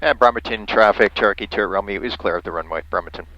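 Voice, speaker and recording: A man's voice: on the low side, fast talker, bright, forceful tone, highs cut off. An American man, a pilot and radio amateur, making radio calls and casually narrating his flight.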